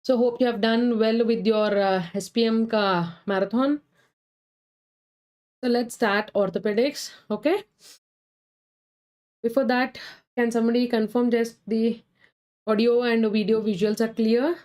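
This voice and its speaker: A woman with an Indian English accent, suffering from a cold, teaching a live online medical exam class, answering viewers' comments between points briskly. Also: fairly high pitch, fairly even intonation, darkish tone. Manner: brisk, reads chat names and answers viewers. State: has a cold.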